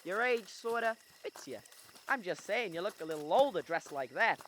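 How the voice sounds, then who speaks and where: Young woman playing restless young man, bright voice, earnest, halting, eager dreamer, radio drama. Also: mid-range voice, expressive, slight background noise, close to an everyday voice. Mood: playful. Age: young adult. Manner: earnest, halting with stammered hesitations. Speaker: student actor.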